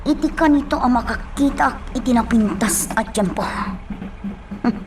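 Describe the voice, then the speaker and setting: A woman's voice: a little high, normal speed, noisy background, lightly acted. An actress playing a grieving, vengeful young woman in an emotional Ilocano radio drama. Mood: determined, vengeful. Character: grieving, vengeful young woman longing for her lost friend. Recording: loud music bed under the voice.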